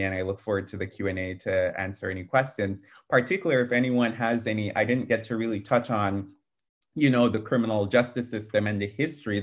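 A young man on a video call with a headset mic, speaking earnestly as a panel speaker, with frequent ums. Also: on the low side. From Canada. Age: young adult. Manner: earnest, fluent with many ums. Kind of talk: webinar talk. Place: small room at home.

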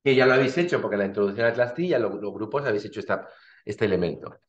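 A man's voice: fairly low pitch, lively intonation, racing. A male university lecturer, explaining slides informally with everyday examples and frequent restarts. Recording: dull, roomy lecture recording.

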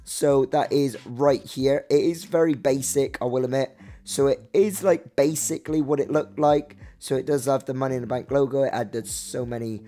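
A young man with a croaky voice narrating a casual walkthrough of a Minecraft build, chatty and rambling. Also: medium pitch, moderate pace, dark tone, faint music behind. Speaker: Minecraft builder showing his map.

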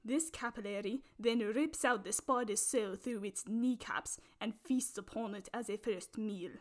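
A woman's voice: on the high side, close to an everyday voice. A woman doing a pompous British nature narrator. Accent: put-on British. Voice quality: mock-solemn, pompous deadpan delivery. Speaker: host. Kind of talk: parody nature documentary narration.

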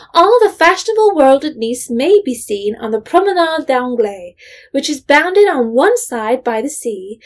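An actress as a composed storyteller, reading scripted literary narration for an audio drama. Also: female voice, high-pitched.

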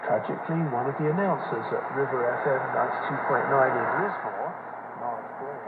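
A man's voice: medium pitch, brisk. A man reading a scripted radio report as presenter, heard over shortwave radio. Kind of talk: scripted narration. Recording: muffled noisy shortwave radio reception, echoey.

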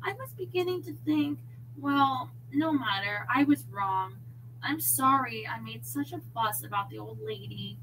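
A woman voicing an emotional, jealous girlfriend who is quick to huff and plead, in an audiobook story. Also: sits high, very animated, sharp tone, slight background noise, voice pushed up, full character voice, low-quality recording. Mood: melting, relieved. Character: jealous, huffy young woman, anxious lover.

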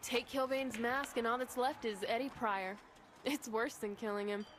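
Woman acting a cool, highish-voiced gang strategist. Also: steady tone, normal speed, fairly bright tone, a little hiss or hum, lightly acted. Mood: calculating.

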